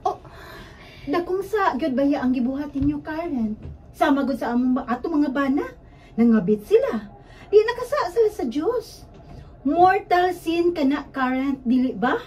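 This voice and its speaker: Woman acting animated, scolding Cebuano friend. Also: high voice, measured pace, far from the mic, slight background noise, rough recording. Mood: reproachful. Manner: scolding, reasoning. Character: moralizing married woman friend, pious and reproachful. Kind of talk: Cebuano radio drama acting.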